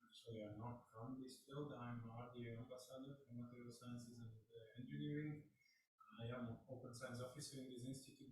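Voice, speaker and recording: A man introducing himself in a measured, flat monotone. He sounds distant in an echoey room. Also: mid-range voice. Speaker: open science officer. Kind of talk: self-introduction.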